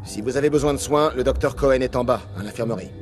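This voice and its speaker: An actor playing a weary, gruff security captain in a video game, speaking in a blunt, dry, colloquial way. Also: male voice, medium pitch, fast talker, music in the background, lightly acted. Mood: weary, sardonic. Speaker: guard captain.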